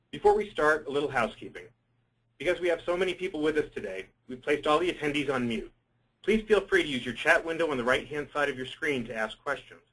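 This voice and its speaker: A man opens an online seminar with scripted housekeeping and a speaker introduction, his delivery formal and read aloud. His tone is bright and forceful, with a husky edge. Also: medium pitch, low-quality recording. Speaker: webinar moderator.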